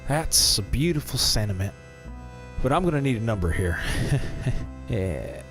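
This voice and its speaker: Man playing a folksy smooth-talking carnival boss. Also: mid-range voice, sing-song, measured pace. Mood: pleased, generous. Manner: genial salesman patter with frequent chuckles and folksy phrasing. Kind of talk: scripted audio drama acting. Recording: music behind the voice.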